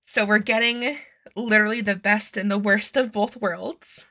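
A woman's voice: mid-range voice, fairly even intonation, moderate pace, pushed and bright. A young woman from Massachusetts hosting a casual true-crime podcast, chatty and swearing freely, her voice still a bit nasal and strained from being sick. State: slightly nasal and strained from illness. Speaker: podcast host.

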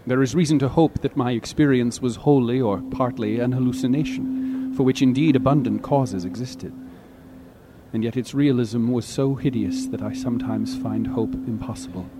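A man's voice: mid-range voice, natural voice. Grave, haunted man played by an actor, noisy backdrop. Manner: grave, formal, deliberate. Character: haunted older male narrator pleading a dire warning. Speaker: reader of a horror story. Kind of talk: dramatic literary reading.

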